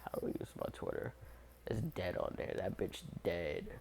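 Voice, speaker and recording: Sick, hoarse young man chatting slowly. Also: sits low, very animated, a little mellow, a little hiss or hum. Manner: rambling, crude slang, laughs and coughs often. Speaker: YouTube voice creator. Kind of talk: casual vlog chat.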